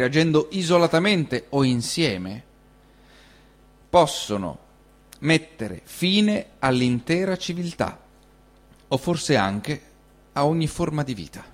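A man giving a solemn, deliberate, declamatory reading of a literary essay. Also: on the high side. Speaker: reader in a radio collective of actors.

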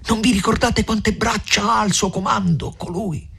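Man doing an anxious, high-pitched peasant mother. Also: fairly even intonation, moderate pace, gravelly, sharp tone, husky, heavily acted. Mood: anxious, hushed. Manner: urgent, lowered voice. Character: anxious, cautious peasant mother, hushing and urgent.

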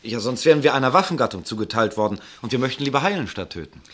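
Young male voice, acted, husky, lively intonation, sensible polite student, loyal comrade, radio drama. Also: mid-range voice, very fast, lightly acted. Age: young adult. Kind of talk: German radio drama acting.

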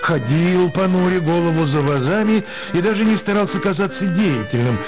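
A man's voice: high-pitched, moderate pace. A man narrating a literary story for radio in a theatrical, scripted style, with music behind him.

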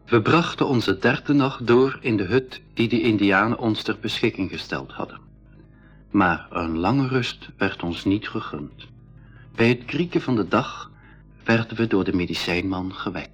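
An actor playing an expedition member who recounts the journey in measured storytelling for a school radio drama. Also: male voice, lowish voice, close to an everyday voice. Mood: reflective. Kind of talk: scripted narration in 1980s school radio drama. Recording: dull radio recording over music.

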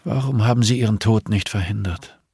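A man voicing a calm, grieving older nun in an audiobook, measured, solemn and quietly reproachful. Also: fairly low pitch, slightly rough, no echo, clean studio sound. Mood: quietly accusing.

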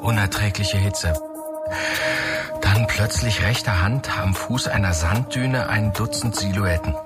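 An actor playing a fed-up desert traveller who narrates and complains in clipped phrases in a German radio drama. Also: male voice, on the low side, measured pace, natural voice. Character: grumpy, fed-up male desert traveller, Tom. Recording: prominent music behind the voice.

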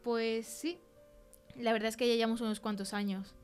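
A woman's voice: on the high side, little pitch movement, moderate pace, a little mellow, faint music behind. A young woman with an accent from Spain, telling her experience conversationally as a podcast guest. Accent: Spain Spanish. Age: young adult. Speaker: podcast guest, film producer. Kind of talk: conversational testimony.

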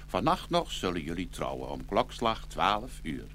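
A man's voice: sits low, huge pitch swings, normal speed, fairly bright tone, a little hiss or hum, full character voice. An actor playing a stern, blustering old Chinese porcelain grandfather doll, pompous and scolding, in an audio fairy tale. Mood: pompous, commanding.

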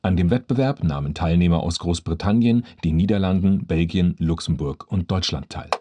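A man's voice: deep voice, normal speed, noisy background. A man reading a formal news report as an announcer.

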